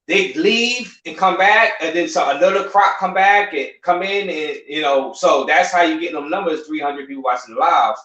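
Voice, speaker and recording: A man's voice: fairly high pitch, normal speed, few pauses, bright, forceful tone, reverberant, lo-fi sound. A man on a live stream, chatting casually, laughing often and repeating himself. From United States. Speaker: YouTuber guest on a live stream.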